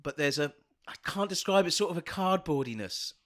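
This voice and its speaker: A man co-hosting a bawdy comedy podcast, with a voice a little higher than most men's. He teases and giggles, and his pitch jumps around with animation. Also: lively intonation, a little fast. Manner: giggly, teasing, bursts of laughter. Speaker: podcast co-host.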